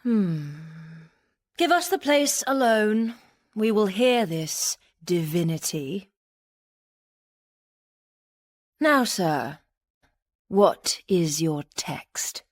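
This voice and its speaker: A woman's voice: mid-range voice, lively intonation, dry recording. An actress playing a proud, witty young noblewoman with an arch, haughty, self-possessed manner, in a Shakespearean radio drama. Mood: cool, curious. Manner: arch, dry wit, measured.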